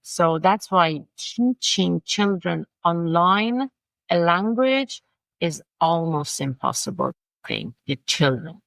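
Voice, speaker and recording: A middle-aged woman with a Persian accent, a native Farsi speaker, talking in a casual interview, halting and searching for words with many restarts. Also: lowish voice, sing-song, vocal fry, fairly bright tone, dry recording. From Iran. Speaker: guest, designer and language coach.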